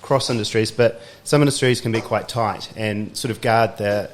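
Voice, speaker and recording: A man hosting a podcast, asking curious questions in a relaxed, chatty way and nodding along with quick agreements. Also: lowish voice, a little hiss or hum. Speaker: podcast host. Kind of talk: casual interview chat.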